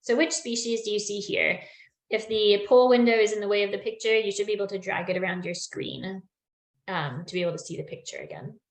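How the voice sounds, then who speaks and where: A young woman explains bird identification over a video call, friendly and full of little ums, her voice a touch worn. Her pitch sits in an ordinary middle range. The sound has a noticeably echoey room around it. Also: fairly even intonation, a little fast. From Newfoundland. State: losing her voice, clearing throat. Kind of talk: online webinar lesson.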